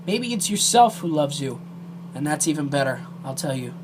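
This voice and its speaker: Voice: mid-range voice, very animated, normal speed, background noise. An amateur video creator speaking directly to viewers in an earnest, emotional and hesitant way.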